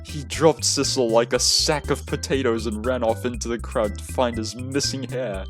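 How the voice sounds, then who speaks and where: A man reading a visual novel game aloud in expressive story narration. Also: mid-range voice, moderate pace. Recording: music behind the voice. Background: game music.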